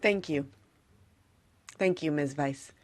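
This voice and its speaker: A woman's voice: deep voice, slow, a little hiss or hum. A woman chairing a formal hearing and handing the floor to speakers in a procedural way.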